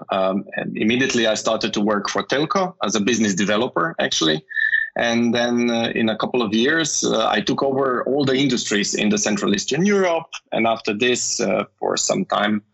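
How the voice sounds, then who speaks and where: Gravelly husky man, halting speech. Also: mid-range voice, fairly bright tone, muffled recording. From Slovenia. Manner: hesitant, many fillers and restarts. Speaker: guest, telecom industry manager. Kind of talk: conversational interview.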